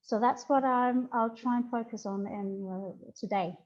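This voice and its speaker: A woman's voice: on the high side, steady tone, unhurried, a little mellow. A woman with a Danish accent giving a friendly, chatty online presentation with frequent fillers. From Denmark. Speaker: presenter for a youth homelessness alliance. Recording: muffled online call audio.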